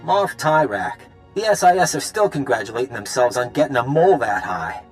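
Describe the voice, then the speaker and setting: A man's voice: mid-range voice, big pitch swings, fairly quick, soft background music, close to an everyday voice. An actor playing an eager, upbeat and cocky male resistance fighter in a video game. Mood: excited briefing.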